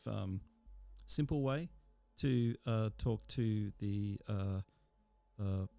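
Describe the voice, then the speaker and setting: A man giving an informal software tutorial as an instructor, explaining step by step in a halting way with pauses mid-sentence. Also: fairly low pitch, vocal fry.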